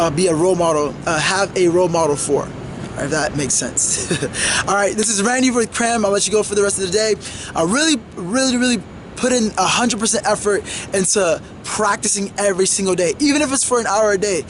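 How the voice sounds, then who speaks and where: A man's voice: high-pitched, background noise. A man in his twenties giving an earnest motivational talk as a vlogger, with many fillers and restarts. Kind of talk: motivational monologue to camera.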